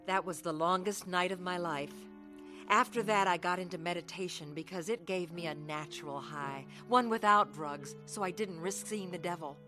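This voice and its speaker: A woman's voice: lowish voice, normal speed, over music, close to an everyday voice. An actress playing a restless, reckless young woman telling her life story in a radio drama. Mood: earnest, regretful. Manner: earnest, confessional storytelling. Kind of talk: dramatised first-person radio testimony.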